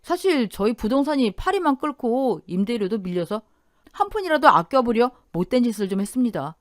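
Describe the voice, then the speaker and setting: A man's voice: extremely high pitch, big pitch swings, a little fast, no room sound, studio quality. A woman reading a shabby, apologetic male realtor. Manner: apologetic, pleading. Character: shabby, broke male real estate agent, middle-aged.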